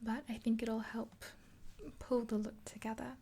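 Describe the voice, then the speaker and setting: Female, twenties, for whispered ASMR. Soft, breathy and dark-toned, on the higher side, speaking intimately close to the mic. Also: little pitch movement, a little hiss or hum. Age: 20s. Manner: soft, gentle, unhurried. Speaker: ASMR video creator. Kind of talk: ASMR roleplay, whispered makeover with rambling chat. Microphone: close to the mic, facing camera.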